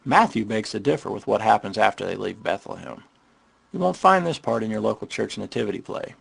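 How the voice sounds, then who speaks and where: A man delivering casual, irreverent scripted commentary in a sarcastic, mocking way, with a gravelly, husky voice. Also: fairly low pitch, lively intonation, fairly quick, a bit bright, no echo. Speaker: narrator of a skeptical commentary on the Bible.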